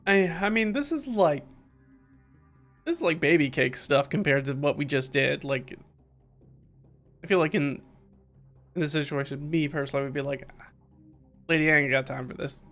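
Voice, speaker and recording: A man's voice: on the high side, normal speed, pauses often, a bit bright. A man doing live playthrough commentary on a visual novel, reading dialogue aloud and reacting in an informal, slangy, wry way. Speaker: streamer playing a visual novel. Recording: faint background music.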